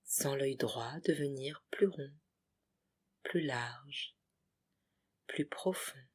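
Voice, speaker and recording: Very slow, breathy yoga nidra guide. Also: mid-range voice. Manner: soothing, hushed, with short separated phrases. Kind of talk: guided relaxation meditation.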